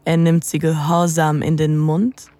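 A woman playing a seductive, dominant lover, narrating intimately in the first person with a breathy, teasing delivery that often nears a whisper. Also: deep voice, level tone, warm tone, no echo. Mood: sensual, slow. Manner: slow, sensual, teasing. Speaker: narrator and lead in an erotic audio drama.

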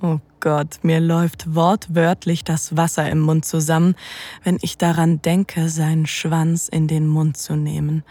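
A woman playing a seductive, dominant lover, narrating intimately in the first person with a breathy, teasing delivery that often nears a whisper. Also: low voice, dark tone, no echo, heavily acted. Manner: slow, sensual, teasing. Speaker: narrator and lead in an erotic audio drama.

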